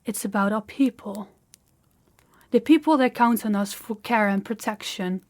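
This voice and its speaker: A woman's voice: mid-range voice, darkish tone, lightly acted. Woman playing an imperious, haughty young princess. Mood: stern, exasperated. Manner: imperious, deliberate, condescending. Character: commanding, haughty young warring-kingdom princess. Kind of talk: scripted fantasy audio roleplay.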